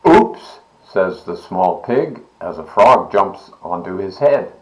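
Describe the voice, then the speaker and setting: Slow, very expressive man reading a storybook. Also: deep voice, poor audio. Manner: expressive, deliberate. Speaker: volunteer story reader, library board member. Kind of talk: children's storybook read-aloud.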